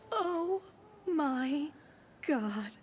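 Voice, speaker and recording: A woman playing a flustered, devoted superfan in a video game, prim, folksy and halting. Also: high voice, a little mellow, slight background noise, full character voice. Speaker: supporting character in a video game. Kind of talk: cinematic game acting.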